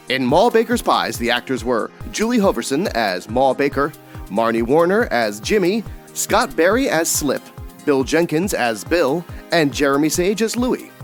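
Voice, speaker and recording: A man giving a scripted announcer read of show credits. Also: a little high.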